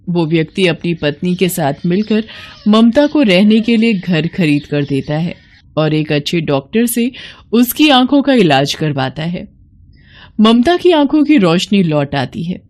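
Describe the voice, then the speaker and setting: A woman's voice: fairly low pitch, very animated, unhurried, darkish tone. Woman narrating a children's story.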